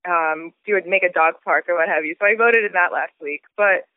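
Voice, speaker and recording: A woman's voice: lowish voice, a little fast, no echo. A woman in her thirties, raised in Switzerland, talking casually about her book in an interview, thinking aloud with fillers and restarts. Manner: thoughtful, frequent fillers and restarts. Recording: tinny phone-like sound.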